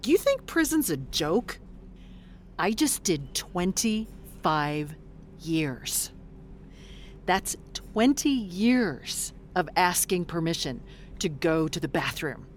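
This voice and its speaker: Actress doing tired blunt middle aged ex convict lady, slow lowish voice, sweary, audio play, faint rumbly noise. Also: very animated. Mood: fierce, weary. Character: blunt, weary middle-aged woman ex-convict named Constance. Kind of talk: audio drama acting.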